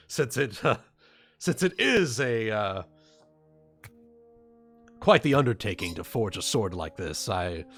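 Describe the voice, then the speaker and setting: A man's voice: medium pitch, a bit bright. A man playing a weary, earnest blacksmith in a tabletop fantasy game, speaking hesitantly. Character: weary, earnest male blacksmith with arcane knowledge.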